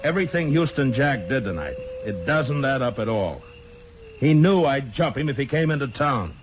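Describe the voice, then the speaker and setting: An actor playing a terse, stern middle-aged American frontier marshal in a 1950s radio western. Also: male voice, mid-range voice, very animated, moderate pace, close to an everyday voice. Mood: thoughtful. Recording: muffled, noisy 1950s radio recording.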